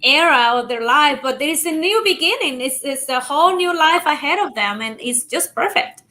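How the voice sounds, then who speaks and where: A woman's voice: high-pitched, animated, normal speed, pushed and bright. A woman from Cuba hosting an interview, effusive and enthusiastic, laughing often. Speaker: interviewer host. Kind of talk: casual interview chat.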